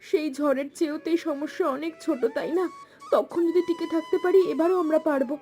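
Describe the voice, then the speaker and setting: A woman's voice: sits high, fast, warm tone, faint music behind, close to an everyday voice. An actress playing a devoted, anxious middle-aged village wife of a fisherman in an emotional cartoon folk tale. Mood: tender, resolute. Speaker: cartoon voice actor.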